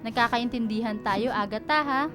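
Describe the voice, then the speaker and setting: A woman's voice: a little high, moderate pace, over music, natural voice. A woman playing a caring, slightly bossy big sister in her twenties in a radio drama, affectionate and teasing. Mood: motherly, firm. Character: caring, bossy twenty-two-year-old big sister and sweet girlfriend.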